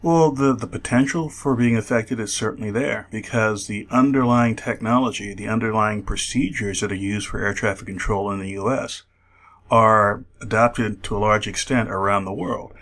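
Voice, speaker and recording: A man speaking as an expert guest in a radio interview, explaining in a measured, careful way. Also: fairly low pitch, fairly even intonation, fairly bright tone.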